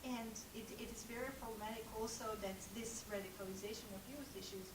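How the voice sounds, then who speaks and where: A woman answering a question on a conference panel, speaking in a measured, halting way with many fillers. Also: on the high side, fairly even intonation. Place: conference room. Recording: roomy, distant hall with hiss.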